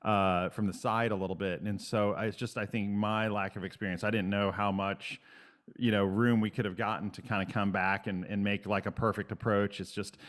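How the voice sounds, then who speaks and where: Animated male co-host telling stories. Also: lowish voice, normal speed. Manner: animated storyteller, self-mocking. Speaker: podcast co-host. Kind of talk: casual interview chat.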